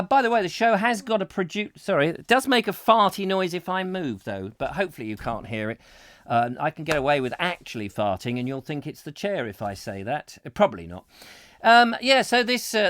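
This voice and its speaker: A man's voice: a little high, a bit bright, no room sound. A man hosting a live comic chat show from a small studio, rambling jokily from one silly aside to the next. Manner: jokey, digressive, lots of fillers and silly asides. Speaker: host.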